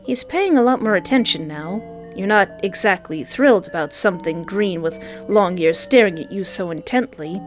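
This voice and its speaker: A woman reading a visual novel aloud, with playful, animated joking asides between the narration. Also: mid-range voice, normal speed, soft background music. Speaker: let's play streamer.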